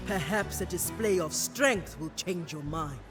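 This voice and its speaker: An actress playing a bold, proud warrior priestess in a video game cutscene, speaking in a measured, declamatory way. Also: female voice, fairly low pitch, music in the background, lightly acted. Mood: taunting. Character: bold, commanding warrior priestess, proud champion of her people.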